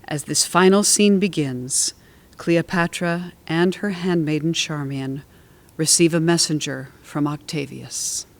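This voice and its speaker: A woman's voice: sits low, measured pace. A woman reading scripted narration and stage directions for a classical play.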